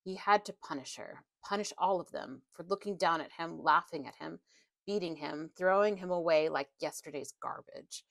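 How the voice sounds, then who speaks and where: A woman with a slightly low voice narrates a horror novel. She reads as an audiobook narrator, in a dramatic scripted style. The recording is dry and close, with little room around her. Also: normal speed, gravelly, a bit bright, no echo.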